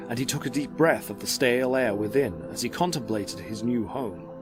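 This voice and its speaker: A man narrating a dramatic story from a script in a measured, descriptive way. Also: medium pitch.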